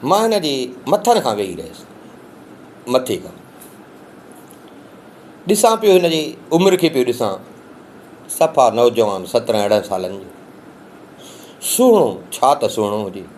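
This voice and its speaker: A male storyteller whose pitch rises and falls widely as he retells a folk tale in first person. His delivery is emotive and dramatic, with a mid-range voice. A faint hiss sits behind the recording. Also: big pitch swings, a little slow, pauses often, darkish tone. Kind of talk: oral storytelling.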